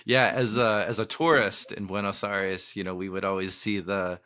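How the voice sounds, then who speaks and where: A man hosts a podcast and leads a relaxed interview, often pausing on fillers as he asks questions. His voice is low but has a bright, forceful edge. The sound is dry and close, with no room echo. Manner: hesitant, many fillers. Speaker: podcast host.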